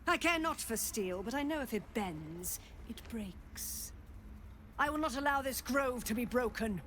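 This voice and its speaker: A zealous druid leader in a fantasy game. She speaks slowly and solemnly, stern and cold, with imperious weight on each pronouncement. Some ambient noise sits behind her. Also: on the high side, expressive, fairly bright tone. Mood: cold resolve. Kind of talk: dramatic video game acting.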